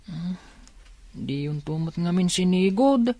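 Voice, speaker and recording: A woman's voice: lowish voice, expressive, takes it slowly, dark tone, no echo, full character voice. A woman with an Ilocano accent playing a worried, devoted child of an ailing mother in a radio drama, tentative and plaintive.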